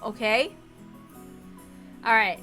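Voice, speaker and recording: A woman's voice: on the high side, very slow, bright, forceful tone, faint music behind, rough recording. A woman reading a visual novel aloud expressively, with asides to her audience.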